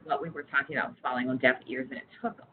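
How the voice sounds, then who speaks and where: Very deep-voiced woman on a muffled phone call. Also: steady tone, rapid-fire, noisy background. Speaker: interviewee, community engagement program staffer. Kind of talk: casual research interview, conversational. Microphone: phone line.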